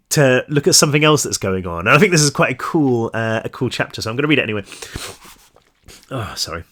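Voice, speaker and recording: A man with a stuffy, congested nose reading his own horror novel aloud slowly and deliberately, then chatting with fillers between passages. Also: medium pitch, fairly bright tone. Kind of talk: dramatic horror book reading.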